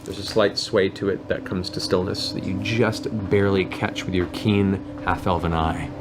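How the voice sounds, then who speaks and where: A man's voice: low voice, level tone, moderate pace. A man acting as game master, improvising descriptive, theatrical narration for a tabletop game. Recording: clearly noisy room ambience.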